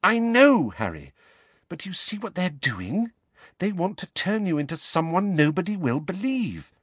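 An anxious, clever teenage witch, played by a man reading a storybook, her voice lifted well above his own. She explains things quickly and earnestly, with lively swoops in pitch. The sound is dry and close. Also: slightly rough, no room sound, full character voice. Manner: rapid, earnest, nervous explaining. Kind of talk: audiobook character voice.

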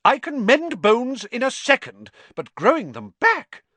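A man's voice: very high voice, huge pitch swings, sharp tone, no echo, pitched above the performer's own voice, full character voice. A man doing a stern, scolding school nurse woman. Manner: scolding, brisk. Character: stern middle-aged female school nurse, fussing.

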